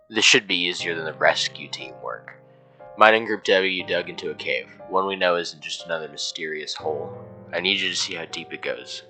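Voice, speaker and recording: A man's voice: low voice, sharp tone, rough recording. A man playing a casual, falsely sympathetic boss giving orders over the phone in a horror podcast drama. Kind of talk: scripted horror podcast acting. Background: instrumental music.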